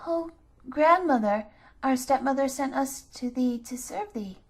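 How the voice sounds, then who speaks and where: A woman voicing polite little orphan children in a storybook, calling out high. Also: expressive, a little slow, exaggerated voice.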